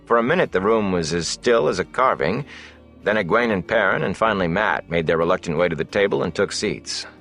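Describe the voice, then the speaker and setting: A man's voice: low-pitched, lively intonation, fairly quick, creaky, a bit bright, faint music behind. A man reading scripted fantasy narration as an audiobook narrator.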